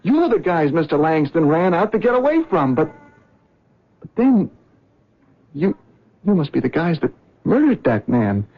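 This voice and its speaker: A jittery cab driver who stammers, apologizes and rambles, with a lively, rising and falling voice. The sound is dull and lo-fi, like an old radio broadcast. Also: male voice, fairly high pitch, measured pace, long pauses, full character voice. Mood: nervous. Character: nervous, talkative male cab driver. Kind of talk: 1970s radio drama acting.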